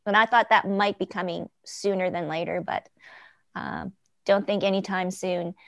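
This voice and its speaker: A woman's voice: mid-range voice, steady tone, normal speed, vocal fry, fairly bright tone, no room sound. A woman hosting a group video chat in a conversational, somewhat rambling way, circling back mid-sentence. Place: remote video call.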